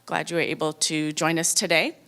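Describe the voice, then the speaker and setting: A woman opens a community forum in a smooth, clear voice that sits low for a woman. She is friendly and a bit scattered as she brings the first speaker up. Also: flat delivery, brisk, sharp tone. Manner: friendly, a bit scattered, laughs at her own slip. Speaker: forum host introducing speakers. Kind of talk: opening welcome at a forum.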